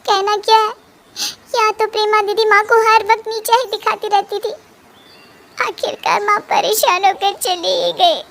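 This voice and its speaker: This is a young wife in an animated story, fiercely protective of her mother, voiced by a woman. She speaks indignantly and pleadingly in a very high, bright voice. Keep the protest earnest. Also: unhurried, heavily acted. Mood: distraught.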